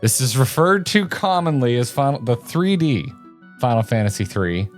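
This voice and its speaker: A man around his thirties or forties reacting casually and excitedly to game footage, with informal, opinionated commentary. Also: medium pitch, normal speed, faint music behind. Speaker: co-host of a video game reaction show.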